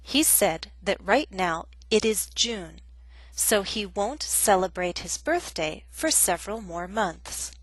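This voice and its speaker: A woman gives a scripted grammar lesson, speaking in a clear and measured way. Her voice sits at an ordinary middle pitch, recorded close and dry with no room sound. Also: expressive, no room sound, rough recording. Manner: clear, measured teaching delivery. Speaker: English teacher.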